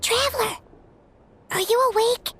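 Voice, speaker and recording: A woman voicing a small, childlike fairy companion with a squeaky, chirpy, excitable voice in a video game. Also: a little slow, faint music behind. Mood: chirpy, slightly nervous. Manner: refers to self in third person, chirpy.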